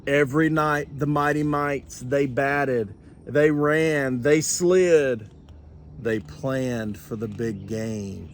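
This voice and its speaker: An older man reading a children's storybook aloud with expressive, deliberate phrasing. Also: medium pitch, a little hiss or hum. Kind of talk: animated children's storybook narration.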